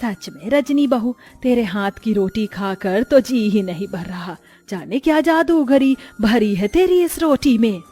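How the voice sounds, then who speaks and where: A woman's voice: on the high side, lively intonation, unhurried, few pauses, warm tone. An authoritative older mother-in-law from a cartoon village household, acted by a woman. She speaks in a firm, matronly way over background music. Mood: approving.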